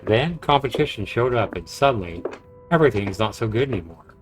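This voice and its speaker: An actor playing a brooding, guilt-ridden but dryly charming man in a visual novel, measured and reflective. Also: lowish voice, animated, natural voice. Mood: pensive. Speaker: narrating protagonist. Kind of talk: visual novel voice acting, introspective narration and dialogue. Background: music.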